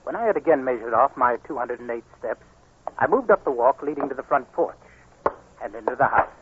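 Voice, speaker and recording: A man's voice: mid-range voice, lively intonation, brisk, natural voice. An actor playing an anguished, restless blind man in a dramatic 1940s radio style, with brooding delivery. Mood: brooding, tense. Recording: muffled, noisy 1940s radio recording.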